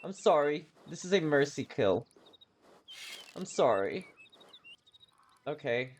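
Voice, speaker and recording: Guy streaming a game, rambly and sweary, pitch jumps around a lot, some noise behind him. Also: very animated, slow. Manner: rambling, self-deprecating, swears often. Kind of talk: casual gameplay commentary.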